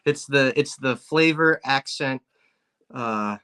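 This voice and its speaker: Bright-voiced man telling jokes. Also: medium pitch, takes it slowly, clear, resonant tone. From Pennsylvania. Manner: joking, deadpan tall tales. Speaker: co-host. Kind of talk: casual chat.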